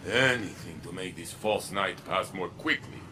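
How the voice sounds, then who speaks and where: An actor playing a weary, proud protector of a city in a video game, grave and deliberate with an indignant edge. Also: male voice, sits low, noisy background, natural voice. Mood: weary resignation. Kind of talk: dramatic video game dialogue.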